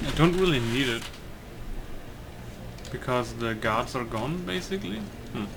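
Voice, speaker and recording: A man's voice: mid-range voice, animated, a little slow, creaky. Hesitant male gamer over noisy game ambience. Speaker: gamer, let's player. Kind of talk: casual gameplay commentary.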